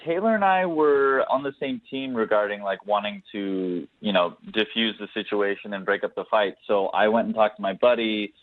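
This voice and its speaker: A man's voice: lowish voice, normal speed, few pauses, no room sound. A man calling in to a radio show, telling his story casually and a little hesitantly, good-natured. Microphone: telephone. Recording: thin, narrow phone line.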